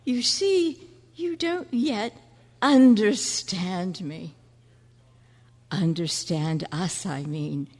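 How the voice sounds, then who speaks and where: A woman's voice: medium pitch, darkish tone. A woman playing the ghost of a flamboyant 105-year-old artist in a stage radio play, theatrical and intimate, recorded in an echoey hall. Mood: wistful, grand. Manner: theatrical, drawn-out, addressing someone intimately. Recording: echoey hall, long reverb.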